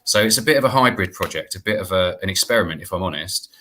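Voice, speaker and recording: A man's voice: low voice, animated, fast talker, bright, forceful tone, slight background noise. A middle-aged British man explaining things earnestly and conversationally as a guest on a video call. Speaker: guest, theatre producer. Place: indoor room, video call.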